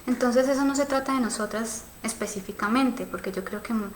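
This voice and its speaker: A woman's voice: fairly high pitch, a little fast, a little hiss or hum. Colombian woman in her thirties, musing aloud. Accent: Colombian, from Cali. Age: 30s. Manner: reflective, thinking aloud with many fillers and tag questions. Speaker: live stream host on sexuality. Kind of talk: casual live stream, answering viewer comments.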